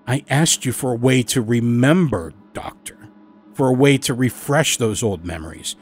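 A man's voice: medium pitch, moderate pace, husky, faint music behind, natural voice. A bitter middle-aged male lawyer played by a storyteller speaks with wounded, accusing force. He is emotional and combative in the manner of a horror audiobook, over a musical score. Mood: wounded, pleading.

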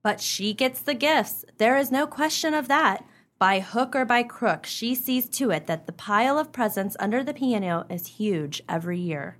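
Woman acting a high-voiced, arch, gossipy wife. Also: animated, normal speed, natural voice. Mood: arch, resigned. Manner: theatrical, precise, arch. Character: gossipy, drily witty small-town wife. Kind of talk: stagey comedic radio play acting.

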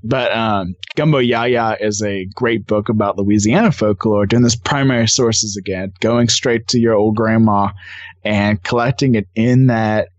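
A man's voice: fairly low pitch, steady tone, unhurried, no room sound, highs cut off. A man chatting casually and enthusiastically as a podcast guest. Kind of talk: casual conversation.

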